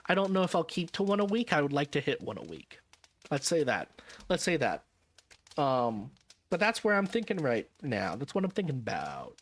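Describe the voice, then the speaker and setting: A man's voice: on the high side, wildly swooping pitch, moderate pace. A man chatting casually to his stream audience, rambling and correcting himself with wry asides, tired and a little jet-lagged. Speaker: vtuber streamer.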